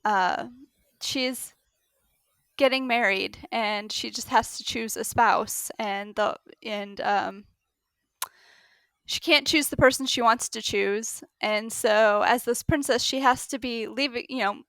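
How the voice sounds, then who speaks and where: A woman on a book podcast panel, chatting casually and haltingly as she thinks aloud. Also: on the high side, a bit bright, smooth, no echo.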